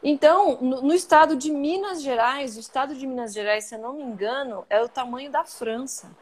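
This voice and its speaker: A Brazilian woman being interviewed on a live video call, telling stories in an animated, outspoken way. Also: sits high, fairly quick. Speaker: guest, coffee journalist and podcaster. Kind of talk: casual interview chat.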